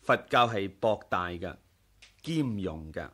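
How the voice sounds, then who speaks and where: A dignified, tolerant young monk leader in a Cantonese radio drama, with a Hong Kong accent. His lowish voice moves slowly and courteously, with expressive rises and falls. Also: full character voice. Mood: calm, open. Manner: gentle, unhurried, courteous.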